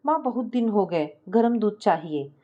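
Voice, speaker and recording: A woman's voice: medium pitch, expressive, normal speed, lo-fi sound, lightly acted. A woman storyteller voicing an affectionate, demanding young daughter-in-law with a coaxing, pleading manner.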